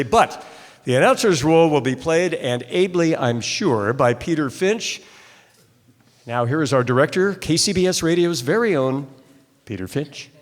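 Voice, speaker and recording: Male presenter, mid-range voice, live introduction to an audience, reverberant hall. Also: medium pitch. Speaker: stage host introducing a radio play. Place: stage of a large hall. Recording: echoey hall, live stage.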